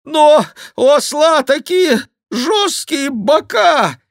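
A man's voice: extremely high pitch, animated, very slow, vocal fry, no echo, studio quality, voice pushed up, exaggerated voice. A man voicing a panicky, whining monk in an audiobook, crying out plaintively and full of grievance. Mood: breathless complaining. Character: panicky, whining monk, clumsy rider, aggrieved and fearful. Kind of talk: audiobook character voice.

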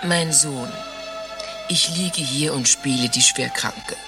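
A woman's voice: a bass voice, slow, music in the background, heavily acted. An actress playing a grand female patient with a stately, theatrical, dry manner in a satirical radio play. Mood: grandly dramatic.

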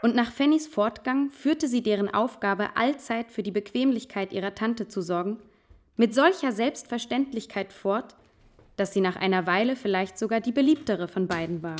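A woman's voice: a little high, normal speed, close to an everyday voice. An actress playing a woman who narrates a classic family novel in an audio drama. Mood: brisk storytelling.

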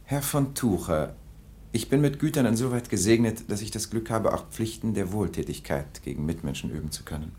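A suave English lord played by a male actor in a radio play. His voice is fairly low and swoops expressively. He talks with polished condescension and an air of haughty entitlement. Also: expressive, a little fast, mellow, close to an everyday voice. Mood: smooth condescension. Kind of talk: German radio drama acting.

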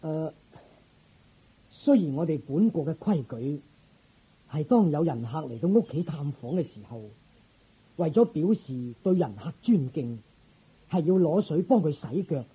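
An attentive royal guard from a Cantonese radio drama. His voice is husky and muted, swinging widely in pitch as he speaks with formal deference to his master. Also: expressive, measured pace, long pauses, warm tone, heavily acted, poor audio. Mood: hesitant, deferential.

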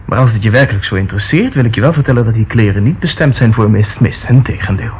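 Haughty, irritable lord, muffled recording. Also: male voice, fairly low pitch, fast talker, husky. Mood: indignant, defiant. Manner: indignant, blustering, imperious. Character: haughty, irritable English lord rebuffing accusations. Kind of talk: radio play acting. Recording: muffled old radio recording, faint noise.

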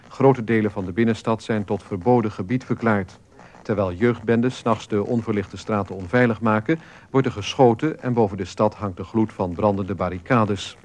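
An actor playing a sober male radio newsreader, reading a scripted bulletin in a formal, measured way. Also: fairly low pitch, a little mellow, close to an everyday voice.

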